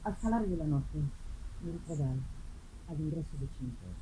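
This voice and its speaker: A woman's voice: sits low, animated, fairly quick, pitched below the performer's own voice. A woman reading a gothic novel theatrically as an anguished man bent on revenge, in a solemn manner, on a noisy live recording. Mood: grieving, bitter. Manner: solemn, theatrical, swinging from hushed to declamatory. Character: anguished male avenger narrating his tragic hunt.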